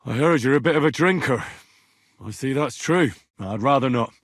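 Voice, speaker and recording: An earnest young medieval commoner for a video game. His voice is husky and swings expressively, with a cheeky, dogged, bantering way of speaking. The recording is close and dry. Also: mid-range voice, lively intonation, normal speed, dry recording. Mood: exasperated. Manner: colloquial, questioning, bantering. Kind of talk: video game character dialogue.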